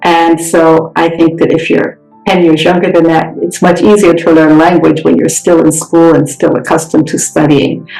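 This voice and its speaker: Gravelly American woman, echoey room. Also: low voice, little pitch movement, moderate pace, hardly any pauses, a little mellow, soft background music. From United States. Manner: reassuring, explanatory. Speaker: regional director of a freshman program. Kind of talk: podcast interview answers.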